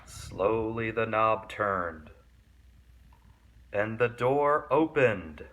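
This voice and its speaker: A man reading a children's story aloud in an expressive, animated and deliberate way. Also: lowish voice, muffled recording, slight background noise. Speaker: storybook reader.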